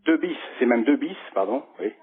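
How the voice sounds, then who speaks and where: A man's voice: medium pitch, animated, moderate pace, crowd noise. Hesitant sixty-year-old man on a muffled phone. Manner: hesitant, stammering, repeats words. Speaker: customer on a sales call. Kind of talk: casual phone conversation. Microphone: telephone.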